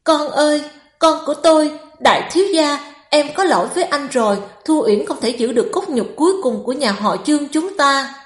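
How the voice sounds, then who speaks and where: Scheming young wife for audiobook melodrama, played by a woman: high-pitched, anguished, dramatic, echoey recording. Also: expressive, a little fast, few pauses. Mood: wailing grief. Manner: wailing, accusing, dramatic.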